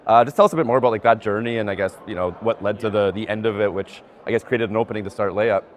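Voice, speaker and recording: A man's voice: fairly low pitch, fairly even intonation, quick pace. A man hosting a podcast, asking questions in a casual in-person interview. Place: indoor event venue, recorded in person.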